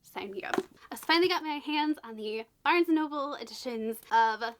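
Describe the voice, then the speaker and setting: A woman hosting a casual book unboxing vlog, a little raspy from being sick, bubbly and gushing, with hushed whispered asides. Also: high-pitched, moderate pace, background noise. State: slightly raspy from illness. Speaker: book channel host.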